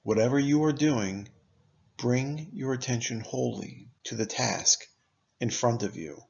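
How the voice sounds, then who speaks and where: A man narrating a scripted guided meditation in a measured, instructive manner, pausing deliberately between phrases. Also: medium pitch, creaky, a little mellow, dull audio.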